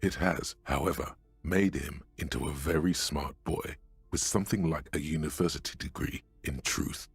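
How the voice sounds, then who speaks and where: Grave game inner voice, extremely low, breathy rasp, solemn, deliberate, sardonic. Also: male voice, no room sound, soft background music, exaggerated voice. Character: grave, literary inner voice narrating a man's bitter thoughts. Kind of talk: scripted game narration.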